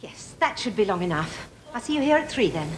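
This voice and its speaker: A woman's voice: medium pitch, sing-song, brisk, natural voice. A woman playing a long-suffering, wryly scheming English suburban wife in a British TV sitcom. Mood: dryly scheming. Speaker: sitcom actress. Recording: noisy old TV sitcom recording.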